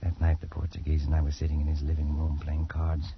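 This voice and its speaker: An actor playing a haunted but defiant colonial adventurer, telling his tale with tense dramatic pauses in old radio style. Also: very deep voice, completely flat delivery, a little hiss or hum. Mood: grim, brooding. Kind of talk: 1940s radio acting, dramatic first-person narration. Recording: dull old radio recording, faint music.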